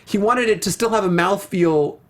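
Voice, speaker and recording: A co-host of a casual review show talks at a middle pitch. The delivery is chatty and emphatic, full of slangy asides and mock quotes. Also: normal speed, fairly bright tone.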